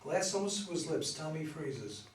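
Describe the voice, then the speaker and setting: A man's voice: fairly high pitch, animated, moderate pace. A man narrating scripted stage directions at a play reading. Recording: roomy, distant mic, faint noise.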